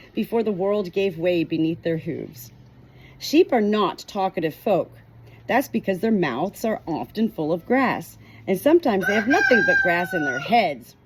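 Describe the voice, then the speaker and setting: A woman reading a novel chapter aloud in an expressive storytelling manner, giving short quoted lines a light change of voice. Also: mid-range voice, breathy edge, low-quality recording. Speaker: audiobook reader.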